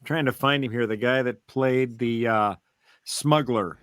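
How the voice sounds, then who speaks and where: Male co-host, mid pitch, casual and somewhat halting, dry close sound. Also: fairly bright tone, clear, resonant tone, dry recording. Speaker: podcast co-host. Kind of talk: casual chat.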